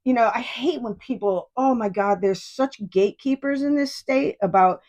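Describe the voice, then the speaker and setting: A woman's voice: a little high, normal speed, darkish tone. A woman in her fifties from New York State, hosting a solo podcast in a rambling, digressive and profane way. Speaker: podcast host. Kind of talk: casual solo podcast monologue.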